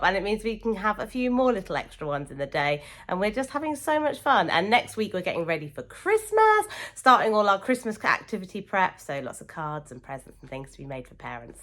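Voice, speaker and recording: A woman chatting to camera as a sewing vlogger, croaky from a cold, gushing warmly about her friends. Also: low-pitched. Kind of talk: casual chat to camera.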